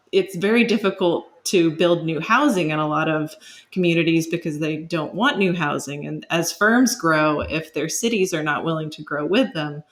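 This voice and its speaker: A woman's voice: low voice, fairly bright tone. A woman, speaking as an expert guest and explaining ideas conversationally while searching for words.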